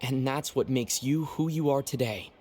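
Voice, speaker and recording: A man playing a calm, sincere young traveler in a video game, speaking earnestly and thoughtfully. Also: mid-range voice, normal speed, slightly rough, lightly acted.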